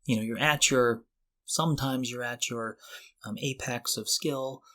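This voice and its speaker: A man in his forties with an average-pitched voice chats casually as a podcast co-host. He works through his thoughts out loud, in loose and somewhat hesitant phrases. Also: steady tone, a little slow. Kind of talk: casual conversational chat.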